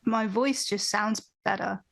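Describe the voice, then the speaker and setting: A young woman, a band vocalist guest on a podcast, talking in a thoughtful, animated way with frequent restarts over a video call from a room at home. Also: mid-range voice, unhurried, vocal fry. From Britain. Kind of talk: casual interview chat. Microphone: video call, webcam.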